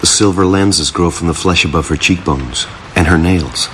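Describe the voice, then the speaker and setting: A man's voice: deep voice, normal speed, a bit bright, slight background noise. An actor playing a bleak, down-and-out man narrating his inner thoughts in terse fragments for a radio drama. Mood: observing. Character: bleak, brooding male down-and-out narrator, inner monologue. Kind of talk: scripted first-person drama narration.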